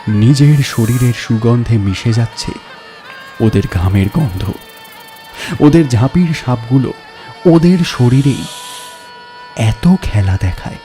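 A man narrates a dramatic audio story with measured suspense. His voice is mellow and relaxed, at a medium pitch, with music playing behind it. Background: background music.

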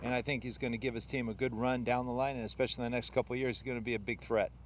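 Fast-talking older analyst man. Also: medium pitch, flat delivery, a little hiss or hum. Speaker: color analyst. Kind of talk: post-game sports analysis. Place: outdoors on a football field at night. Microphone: handheld mic.

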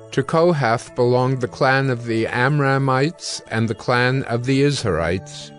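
A man narrating a dramatized audio Bible in a measured, formal manner. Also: mid-range voice. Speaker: scripture narrator. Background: background music.

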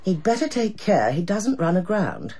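An actress playing a sharp-tongued older female Prime Minister in a radio drama, with a clipped, commanding English accent and a condescending manner. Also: lowish voice, very animated, moderate pace, breathy edge. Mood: imperious, needling.

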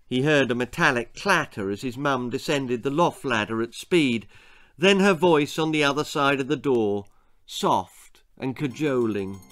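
A man's voice: mid-range voice, a little slow. An older man reading a children's story aloud in a theatrical, dramatic way. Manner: animated, theatrical delivery. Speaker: author reading his own story. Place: small book-lined room indoors.

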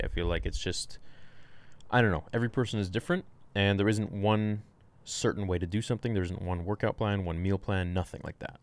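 A young man casually answering fitness questions on a home livestream, rambling, frank and swearing freely, recorded by a desk mic in a small room. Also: deep voice. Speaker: fitness streamer.

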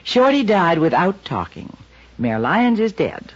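An actress playing a scheming young widow in a 1950s radio drama, taunting and then flustered. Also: female voice, fairly low pitch, very animated, unhurried, slightly rough, full character voice. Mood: smug. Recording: dull old radio recording.

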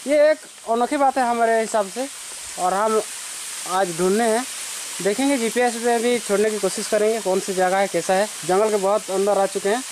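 A young man from Odisha, India, vlogging excitedly as he hikes through a forest to a waterfall, filming on a phone at arm's length. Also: high voice. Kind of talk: casual vlog narration while hiking. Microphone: phone held at arm's length. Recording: noisy outdoor phone recording. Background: rushing water.

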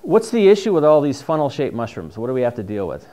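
A man's voice: mid-range voice, wildly swooping pitch, fast, mellow. A middle-aged man with a New England accent, teaching a class on foraging in a dim classroom, chatty and enthusiastic. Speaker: mushroom foraging instructor. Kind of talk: casual classroom talk with slides. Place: dim classroom with projector screen. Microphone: far camera.

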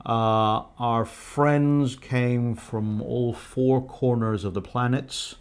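Halting middle-aged man guest. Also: mid-range voice, steady tone, smooth. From Hong Kong. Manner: halting, repeats words, dry teasing humor. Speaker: guest, the host's husband. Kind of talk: casual chat. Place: indoor studio set with a painted brick wall.

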